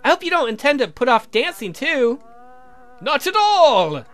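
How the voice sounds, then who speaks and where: An eager, girlish young woman from a game, played by a man, teasing and excitable. The pitch is raised very high and leaps around brightly. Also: moderate pace, soft background music, full character voice. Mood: delighted, teasing.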